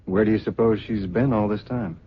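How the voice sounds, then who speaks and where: An actor playing a skeptical, probing investigator in an old-time radio drama, questioning in a dry manner. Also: male voice, lowish voice, fairly even intonation, fairly quick, a little hiss or hum, natural voice. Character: skeptical, probing male investigator handling a body. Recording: dull old radio recording.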